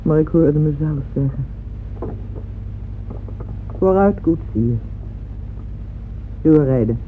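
Slow, weary old woman remembering. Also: low-pitched, animated, a little hiss or hum. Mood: agitated remembering. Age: older. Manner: slow, reflective, intimate address. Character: weary old Russian noblewoman, wistful, devoted, brooding on memories. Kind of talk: radio drama monologue. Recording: muffled old radio recording, music behind.

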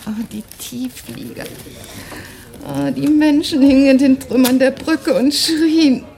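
Anguished young refugee wife, mellow. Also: female voice, sits high, slow pace, gravelly, heavily acted. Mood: haunted recollection. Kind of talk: dramatic radio play acting.

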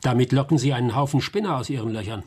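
An actor playing a wry, hard-boiled private detective in a radio play, blunt and sardonic. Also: male voice, mid-range voice, rapid-fire, a little mellow, breathy edge, lightly acted. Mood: blunt, probing.

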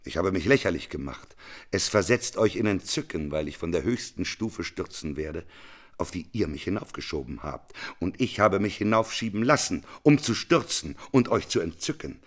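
Bitter doomsday prophet, low voice. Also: male voice, lively intonation, normal speed. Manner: declamatory, incantatory, repetitive. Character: bitter male doomsday prophet cursing his crowd. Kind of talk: radio play monologue.

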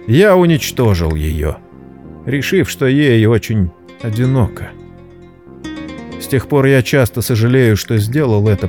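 A man narrating a scripted story dramatically, in a solemn, measured literary manner. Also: medium pitch. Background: background music.

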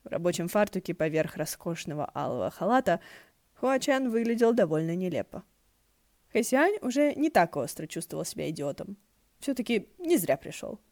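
A woman reading a story aloud as an expressive audiobook narrator. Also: on the low side, moderate pace, dry recording. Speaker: audiobook reader.

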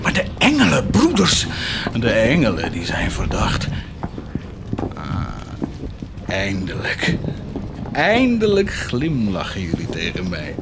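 An actor playing a fiery, indignant preacher in a theatrical radio play, declaiming with passion against the sale of indulgences. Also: male voice, fairly low pitch, huge pitch swings, slow pace, gravelly, pauses often, heavily acted. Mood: sly, solemn. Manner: declamatory, impassioned. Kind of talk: theatrical radio drama acting. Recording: noisy radio play recording.

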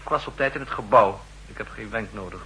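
Quick, sharp-voiced, aggressive subordinate. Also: male voice, lowish voice, poor audio. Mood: barbed, defiant. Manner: curt, polite but barbed. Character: short, aggressive, sharp-tongued younger male subordinate. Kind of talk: 1960s Dutch radio drama acting. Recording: 1960s radio recording with hum and noise.

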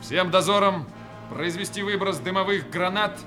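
A man's voice: high voice, moderate pace, over music, close to an everyday voice. A man playing a stern, commanding army major in a Soviet radio play. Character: stern commanding male army major, philosophical, weary of chatter.

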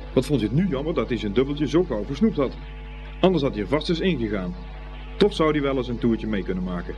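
A man with a middle-pitched voice narrates a radio play in storybook style. Music plays clearly behind his scripted telling.

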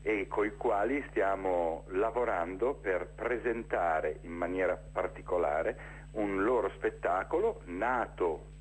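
A man speaking spontaneously as an interview guest, explaining things with mid-phrase pauses and repeated words for emphasis. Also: low voice, slightly rough. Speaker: interview guest, theatre director presenting a festival. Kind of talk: spontaneous informational interview talk.

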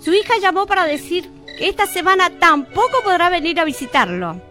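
A woman with an Argentine accent playing a strict, officious care-home attendant in a radio drama, with brisk delivery. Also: extremely high pitch, expressive, sharp tone, soft background music, heavily acted. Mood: brisk, impatient.

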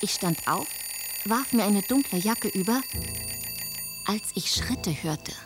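A woman's voice: medium pitch, expressive, slow, background noise. An actress playing a young noblewoman who narrates her own adventure in a scripted radio drama. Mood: suspenseful. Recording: music behind.

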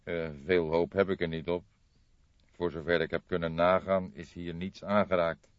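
A grave older male detective from an audiobook, voiced by a male reader. He speaks deliberately and thoughtfully, as someone weighing each fact. The sound is dry and a little muffled. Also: deep voice, flat delivery, dry recording, close to an everyday voice.

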